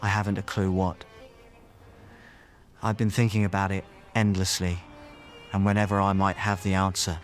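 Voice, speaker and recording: A man's voice: sits low, level tone, moderate pace, pauses often, soft background music, close to an everyday voice. A man playing a brilliant, troubled time-traveller in an audio drama. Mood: troubled, pensive.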